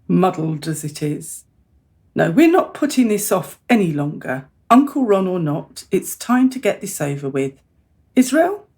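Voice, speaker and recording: An actress plays a middle-aged mother in a radio drama. Her voice is low and husky. She is earnest and insistent, measured but exasperated with her family. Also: very animated, darkish tone, full character voice. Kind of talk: radio play acting.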